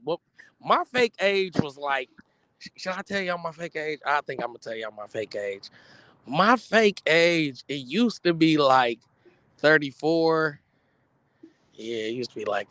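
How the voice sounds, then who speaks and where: A man in his forties co-hosting a podcast, slangy, joking and animated in casual chat. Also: fairly high pitch, no echo. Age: 40s. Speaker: podcast co-host.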